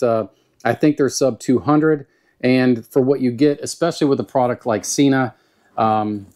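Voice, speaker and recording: Male gear reviewer, Southern US accent, mid-pitched voice, folksy and unhurried, informal monologue delivery. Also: darkish tone. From Southern United States. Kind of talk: casual product review monologue.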